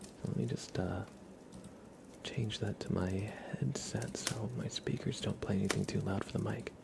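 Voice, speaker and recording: A man hosting an ASMR livestream, chatting informally in a soft-spoken to whispered voice, rambling along. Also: fairly low pitch, steady tone, normal speed, creaky.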